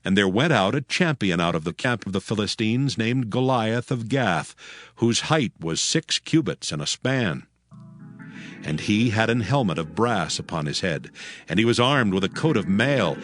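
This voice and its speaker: Man, scripture narrator, lowish voice, solemn, expressive intonation, music behind. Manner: solemn, measured storytelling.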